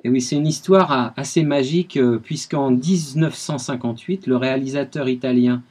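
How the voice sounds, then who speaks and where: A man's voice: mid-range voice, moderate pace, darkish tone. A man giving a radio music history segment, telling the story with enthusiasm.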